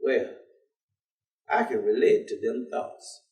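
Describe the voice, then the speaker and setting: An actor playing a folksy, devout, good-humored man in a staged play reading, speaking in loose vernacular and gently probing with questions. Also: fairly low pitch, big pitch swings, slow. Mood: thoughtful. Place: indoor theater stage. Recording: echoey theater, distant microphone.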